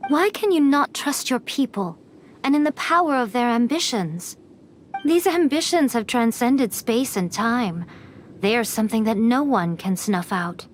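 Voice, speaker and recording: Game casting: mystic woman of authority, poised, pointed, slightly high voice, faint music. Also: expressive, unhurried. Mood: challenging. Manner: probing, pointed, rhetorical. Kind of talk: dramatic video game acting.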